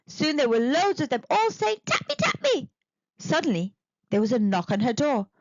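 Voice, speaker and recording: A woman narrating a children's storybook in an expressive, deliberate read-aloud manner. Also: fairly high pitch, highs cut off, background noise. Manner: animated, deliberate.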